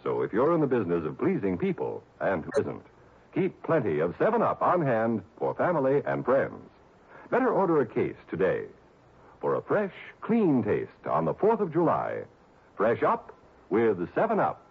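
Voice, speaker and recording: Male commercial announcer, very raspy voice, hugely swooping intonation, emphatic pitch, vintage radio read. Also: wildly swooping pitch, normal speed. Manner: emphatic sales delivery. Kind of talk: 1960s radio advertisement read. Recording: muffled narrow-band vintage radio recording.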